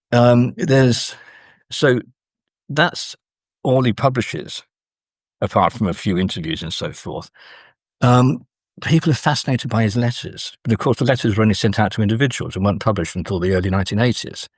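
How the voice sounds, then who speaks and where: A man speaking as a scholarly interview guest, explaining in a thoughtful, halting way with frequent pauses mid-sentence. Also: fairly low pitch, lively intonation, breathy edge, dry recording. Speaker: guest academic and author.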